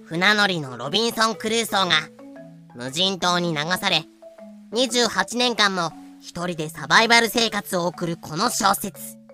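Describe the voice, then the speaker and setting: Casting: prim little lecturer voiced by a woman, bright crisp-edged tone, didactic, quirky phrasing, music underneath. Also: medium pitch, animated, normal speed. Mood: explanatory. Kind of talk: fan-made Japanese voice drama acting.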